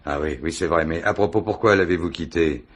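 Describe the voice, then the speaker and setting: A man's voice: low-pitched, a little fast, husky. An actor playing a wily, insistent police commissioner in a French radio mystery, repeating his words with probing, mock polite courtesy. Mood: probing, courteous. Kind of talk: French radio crime drama acting.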